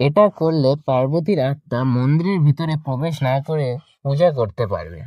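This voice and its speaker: A man's voice: on the high side, expressive, moderate pace, dark tone, no room sound, close to an everyday voice. A man voicing a grave, compassionate temple priest in a cartoon, solemn and advising. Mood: grave concern. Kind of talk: cartoon story voice acting.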